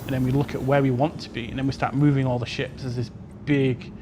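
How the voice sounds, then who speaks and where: Man, game developer, average pitch, loose hedging explanations, panel Q&A, noisy background. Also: little pitch movement, quick pace. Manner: hedging, explanatory, peppered with "you know" and "kind of". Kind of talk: informal explanatory question-and-answer.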